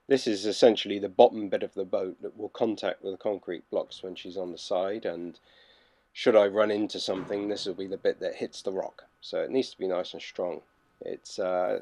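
A man in his thirties from the UK, casually narrating his boat-building work in a relaxed, practical way with frequent ums. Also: low voice, creaky.

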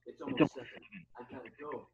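A man acting a vain, slow-witted male supermodel, solemn, subdued and melodramatic, in a table read over a video call. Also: medium pitch, fairly even intonation, a little mellow, slightly rough. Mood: earnest. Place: home, remote video call. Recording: muffled, lo-fi video call audio.

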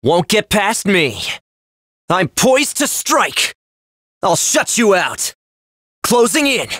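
An earnest young game hero, loyal and sincere, with a youthful voice that moves freely in pitch. The sound is close and dry, every line clearly spoken. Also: male voice, sing-song, takes it slowly, a bit bright, no echo, exaggerated voice. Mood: fierce, determined. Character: earnest, loyal young swordsman prince in armor. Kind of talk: video game character voice lines.

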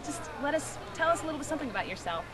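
A woman's voice: high voice, very animated, racing. Giggly young woman interviewing outdoors. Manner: friendly, giggly, encouraging questions. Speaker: company video interviewer. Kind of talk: casual on-camera interviews. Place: outdoor baseball stadium and dugout. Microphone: handheld mic on camcorder audio. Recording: distant camcorder audio with noise.

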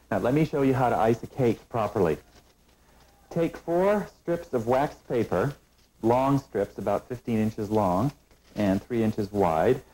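Calm middle-aged man teaching baking. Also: medium pitch, poor audio. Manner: calm step-by-step instructive delivery. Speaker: cooking show host. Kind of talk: scripted cooking demonstration. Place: studio kitchen set.

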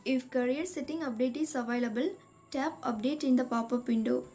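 A woman reading a scripted step-by-step tech tutorial in a measured, instructional manner. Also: high-pitched, dark tone. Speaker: tutorial narrator. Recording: voice-over with music behind. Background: background music.

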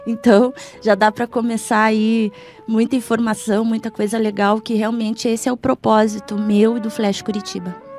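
A woman's voice: fairly high pitch, little pitch movement, moderate pace, darkish tone. A middle-aged woman, chatting as a guest in a radio interview. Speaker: interview guest, blogger and video presenter. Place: small foam-padded radio studio. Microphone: studio microphone. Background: faint music.

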